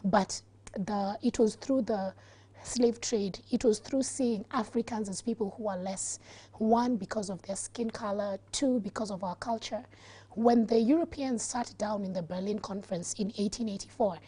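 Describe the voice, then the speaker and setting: A woman's voice: mid-range voice, moderate pace, mellow, husky. A Kenyan woman with a Kenyan accent, a guest on a studio talk show, explaining earnestly and laughing easily. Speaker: guest, activist.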